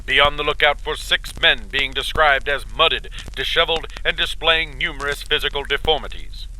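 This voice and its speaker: Guy doing clipped radio news bulletin, urgent, super bright forceful voice, bit of hiss. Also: on the high side, normal speed, rough recording. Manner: formal, clipped announcing.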